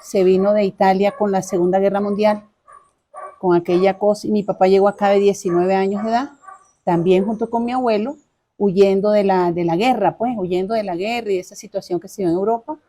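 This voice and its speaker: A woman's voice: mid-range voice, steady tone, brisk, dark tone. A middle-aged woman with a Caracas Venezuelan accent, telling her life story informally as an interview guest, chatty and courteous, often repeating her phrases.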